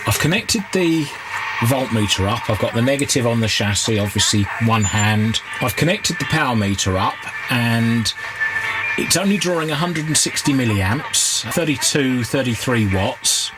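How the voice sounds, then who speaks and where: A man giving an unscripted, halting workshop commentary, thinking aloud as he works at a repair bench. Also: medium pitch, animated. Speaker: hobbyist radio repairer and video host. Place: indoor repair workbench. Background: loud noise, radio and test-tone sounds.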